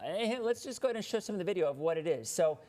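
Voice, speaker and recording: Host: talkative man with a slight lilt, fairly high voice, easygoing live-TV patter. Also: expressive, rapid-fire, mellow. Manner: chatty, loose, greets viewers by name. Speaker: weather show host. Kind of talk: casual live TV interview chat.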